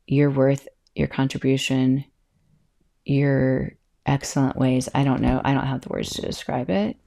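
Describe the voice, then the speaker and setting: Middle-aged yoga teacher lady talking on her podcast, bit gravelly, mellow tone, chatty, laughs a lot, calm voice that gets loud sometimes. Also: low-pitched. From California. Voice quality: can be loud and projecting, calm-sounding. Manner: chatty, self-interrupting, laughs often. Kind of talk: casual solo podcast talk.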